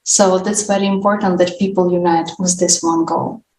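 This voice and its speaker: A woman hosting an unscripted panel discussion, speaking in halting, deliberate phrases. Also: fairly low pitch, level tone, a little mellow. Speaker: discussion host. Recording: roomy echo, distant mic, faint noise.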